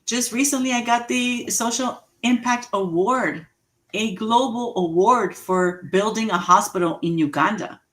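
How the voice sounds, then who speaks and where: A woman in her forties giving an inspirational talk, slow and emphatic, repeating key phrases and laughing at her own jokes. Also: fairly high pitch, a bit bright. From Mexican heritage, raised in the US. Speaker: motivational speaker. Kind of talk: inspirational speech.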